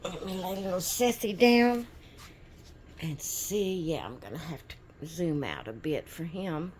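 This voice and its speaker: A hoarse woman rambles through a casual home vlog at an unhurried, drawn-out pace. Her voice sits a little low, and she circles back with fillers and restarts. A faint hum of room ambience sits behind her. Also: expressive, darkish tone. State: hoarse from sneezing. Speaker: doll channel vlogger. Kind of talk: casual chat. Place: at home indoors.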